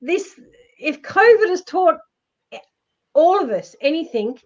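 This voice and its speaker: A woman with a loud voice, giving emphatic, reassuring life advice in a casual live-stream chat. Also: very high voice, very animated, slow. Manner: emphatic, reassuring, repeats herself. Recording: dull lo-fi stream audio.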